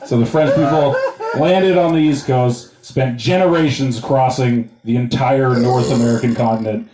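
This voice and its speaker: A man's voice: medium pitch, moderate pace, background noise. A man from Montana doing a crude, self-mocking stand-up set, rambling with fillers. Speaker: stand-up comedian. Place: comedy club stage. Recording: roomy club sound, taken from a distance, audience laughter.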